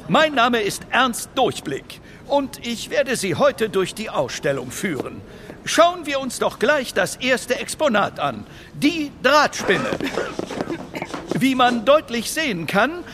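An actor playing a pompous, arrogant art gallery guide who lectures condescendingly in a children's audio drama. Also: male voice, very high voice, big-room sound, noisy background, full character voice.

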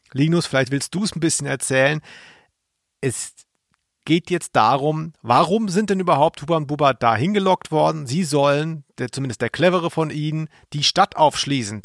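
A man's voice: a little high, vocal fry, no echo, clean studio sound. A man hosting a podcast about radio plays, explaining things conversationally in an amused way to his co-host.

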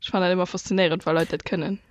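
A woman's voice: on the low side, fairly even intonation, rapid-fire, no room sound. A woman with a Luxembourgish accent co-hosting a podcast in casual chat, laughing often and breaking off into restarts. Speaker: podcast co-host.

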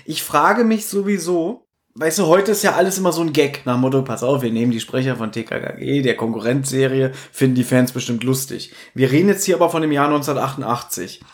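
A man's voice: mid-range voice, quick pace. A man co-hosting a podcast, chatting casually and animatedly as he reviews audio dramas. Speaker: podcast co-host.

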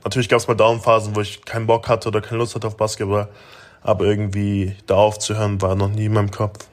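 A young man of nineteen from Germany talks through interview questions in a loose, rambling way, full of fillers and restarts. His voice sits on the low side and stays flat, with little rise and fall. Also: fast. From Germany (Augsburg). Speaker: interview guest, basketball player. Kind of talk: casual interview answers.

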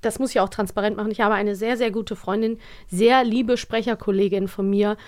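A woman's voice: mid-range voice, fairly even intonation, brisk, a little mellow, dry recording, clean studio sound. A woman podcast host with a standard German accent, chatting casually and explaining things in a conversational way. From Rhineland, Germany. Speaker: voice actor. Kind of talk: casual podcast chat about audio dramas.

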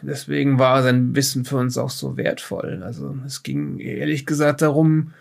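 An actor playing a peevish, well-off scholar who survived a flood, speaking hesitantly and fussily in an improvised audio drama. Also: male voice, medium pitch, animated, moderate pace, vocal fry. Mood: guarded, explanatory. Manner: hesitant, fussy, snobbish.

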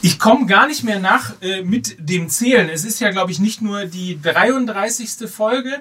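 A man's voice: sits high, unhurried, sharp tone, slightly rough, distant mic, soft background music. A man hosting and moderating a casual, humorous football podcast, recorded slightly away from the microphone.

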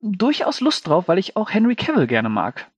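Slangy man, lightly high, dry sound. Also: huge pitch swings, normal speed, breathy edge, no room sound, dull audio. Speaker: co-host of a film news talk show. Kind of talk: casual chat about film news.

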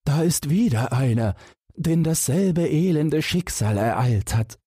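A man puts on a pitiful male captive from a storybook. The voice sits far higher than the reader's own, husky and plaintive, lamenting a miserable fate. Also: expressive, a little slow, mellow, no echo, clean studio sound. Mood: woeful. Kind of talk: fairy-tale audiobook character voice.

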